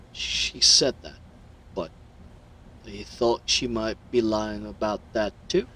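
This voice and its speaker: A man's voice: medium pitch, fairly bright tone, slight background noise, poor audio. A man voicing a smug, mocking villain with theatrical, condescending delivery in an audio roleplay. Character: smug, mocking male villain crime broker.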